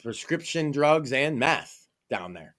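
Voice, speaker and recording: A man's voice: medium pitch, big pitch swings, slow pace, a bit bright. A man from Massachusetts co-hosting a podcast, talking casually, with a profane, emphatic and opinionated manner. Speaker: podcast co-host.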